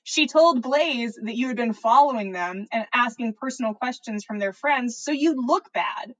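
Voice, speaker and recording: A woman's voice: on the high side, moderate pace, natural voice, rough recording. A woman reading a story and voicing an impatient, blunt teenage wizard boy with an agitated, exasperated manner. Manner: agitated, eye-rolling bluntness.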